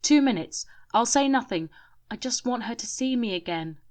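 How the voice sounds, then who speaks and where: A woman's voice: medium pitch, fairly even intonation, takes it slowly, close to an everyday voice. A woman voicing a tender, pleading male ghost in a spoken story. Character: gentle ghost of a devoted husband.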